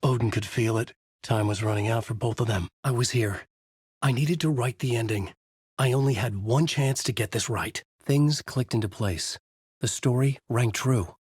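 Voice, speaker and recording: An actor playing a haunted, desperate horror writer, narrating in a brooding, intense noir style for a video game. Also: male voice, medium pitch, normal speed, a little mellow, slightly rough, dry recording, studio quality. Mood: brooding, grave. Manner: brooding, intense, terse delivery. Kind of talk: dramatic first-person noir narration.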